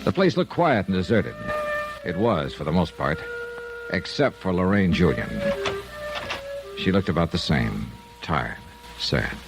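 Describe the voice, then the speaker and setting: An American actor playing a tough, cool investigator in a 1950s radio detective drama, clipped, terse and world-weary. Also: male voice, sits low, big pitch swings, measured pace, vocal fry, long pauses, music in the background, heavily acted. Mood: grim narrating. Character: tough, cool insurance investigator, male, hard-boiled. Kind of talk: 1950s detective radio drama acting with first-person narration. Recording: vintage radio drama recording.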